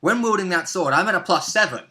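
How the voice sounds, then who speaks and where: A man's voice: high voice, expressive, fast, bright, forceful tone. A man in his twenties chatting casually as a player about a tabletop role-play session, recorded on a webcam in a room at home. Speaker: player in a tabletop role-playing campaign, co-host. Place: small domestic room indoors.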